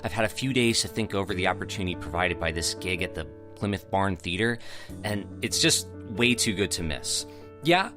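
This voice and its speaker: Actor as an animated, cocky young theater hopeful. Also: male voice, on the low side, close to an everyday voice. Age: young adult. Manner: earnest, self-assured, conversational. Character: eager, cocky young male aspiring theater director. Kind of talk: audio drama acting with confiding narration.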